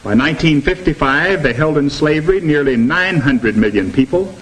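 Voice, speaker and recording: A man's voice: fairly high pitch, lively intonation, moderate pace, fairly bright tone. A man lecturing formally and emphatically as a teacher in a 1950s educational film, on a noisy old soundtrack. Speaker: teacher leading a classroom discussion.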